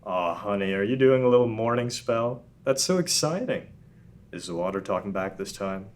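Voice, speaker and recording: A man's voice: lowish voice, animated, normal speed, natural voice. A man voicing an overly optimistic, doting dad in his forties at an animation table read, peppy and eager. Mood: cheery, doting. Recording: noticeable background noise.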